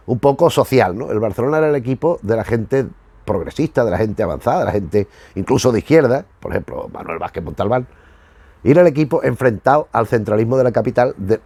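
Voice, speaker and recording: A man's voice: medium pitch, a little mellow, no room sound. A man from giving casual, rambling commentary as a host, often searching for words and rewording as he goes. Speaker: YouTube host reviewing retro cartoons.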